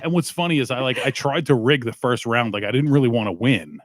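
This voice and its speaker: A man's voice: medium pitch, lively intonation, fast, no echo, clean studio sound. A man hosting a weekly comedy podcast, swearing freely and teasing his co-host in loose banter. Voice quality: profane, teasing. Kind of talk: crude casual banter.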